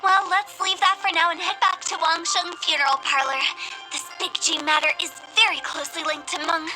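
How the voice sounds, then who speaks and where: A woman's voice: extremely high pitch, fairly even intonation, a little fast, music in the background, low-quality recording. A woman playing a cheeky, upbeat young female funeral parlor director in a video game, with theatrical salesperson patter. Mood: teasing.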